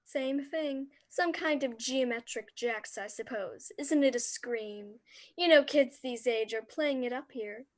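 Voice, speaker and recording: Actress as gossipy suburban mom in a radio play, high breezy voice, chatting away. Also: female voice, unhurried, close to an everyday voice.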